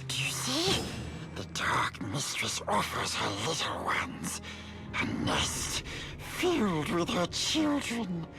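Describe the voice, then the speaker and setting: An actor playing a wretched, fawning creature in a video game, with a raspy croak and wheedling, broken speech. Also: male voice, high-pitched, huge pitch swings, loud music behind the voice, heavily acted. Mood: sly, eager. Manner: broken grammar, wheedling, halting. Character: wretched, sly, fawning creature guiding his master. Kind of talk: video game cutscene acting.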